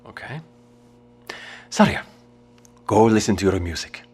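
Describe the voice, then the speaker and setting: A deep-voiced evasive father. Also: expressive, measured pace, a little mellow, husky. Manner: stammering, evasive when pressed. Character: middle-aged male translator and father, evasive. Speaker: father, government translator.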